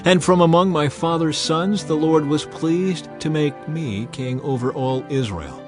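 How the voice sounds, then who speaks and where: A man's voice: a little high, unhurried, voice pushed up, natural voice. Man acting commanding, declamatory aging king over faint music. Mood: solemn, proclaiming. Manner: declamatory, earnest, oratorical. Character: solemn, commanding aging king addressing his people. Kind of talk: dramatized scripture reading. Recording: music behind the voice.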